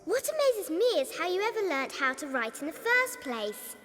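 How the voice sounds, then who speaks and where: Young orphan girl, very high bright voice, plucky, eager, reverberant, audiobook fantasy. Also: sing-song, moderate pace, exaggerated voice. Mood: curious. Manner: eager, urgent, well-spoken. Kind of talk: full-cast audiobook dramatization.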